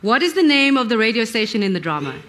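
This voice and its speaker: A woman informally hosting an audience quiz at a live event, excitable, giggly and full of exclamations. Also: on the high side, fast talker.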